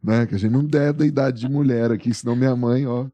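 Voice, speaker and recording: Male podcast host, playful teaser, mellow tone. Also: medium pitch, steady tone, fast talker, no echo, lo-fi sound. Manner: joking, teasing interviewer. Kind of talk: casual interview chat.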